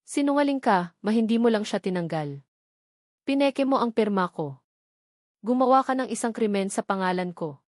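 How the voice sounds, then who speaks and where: A woman's voice: mid-range voice, lively intonation, moderate pace, pauses often, darkish tone, no echo, lightly acted. Lady narrator doing an angry hurt rich guy, demanding, confrontational, audio drama, really dry sound. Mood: bitter, accusing. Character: angry, wounded wealthy adult son, a CEO.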